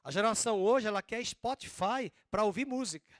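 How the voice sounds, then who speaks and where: A man preaching a sermon as pastor, with a drawn-out Northeastern Brazilian accent and slow, emphatic stresses. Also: high voice, lively intonation, a bit bright, no room sound, clean studio sound. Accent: Northeastern Brazilian Portuguese.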